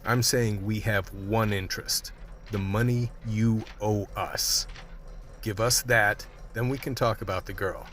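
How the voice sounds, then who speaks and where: Low-voiced hard-boiled tough guy. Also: fairly even intonation, a little slow, a bit bright, a little hiss or hum. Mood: hard, defiant. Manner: blunt, terse, streetwise. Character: tough, hard-boiled, world-weary male crew leader. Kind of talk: radio drama acting with noir narration.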